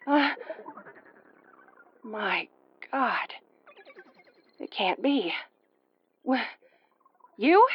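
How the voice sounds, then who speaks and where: A woman's voice: high-pitched, dry recording, muffled recording. A woman playing a sardonic, sweary tomboyish young starship commander in an audio roleplay, stammering when rattled but secretly tender.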